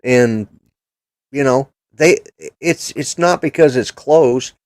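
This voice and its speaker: A man's voice: medium pitch, takes it slowly, a little mellow, dry recording. An older man hosting a casual political chat show, talking in a blunt, rambling, opinionated way. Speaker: podcast host.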